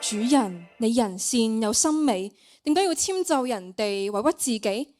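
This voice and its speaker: A woman's voice: on the high side, animated, unhurried, a little mellow, soft background music. A teenage girl with a Hong Kong Cantonese accent voicing a devoted, deferential magic mirror that counsels its owner in a student radio play. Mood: earnest counsel. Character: devoted magic mirror, flattering yet wise servant. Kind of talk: student radio drama acting.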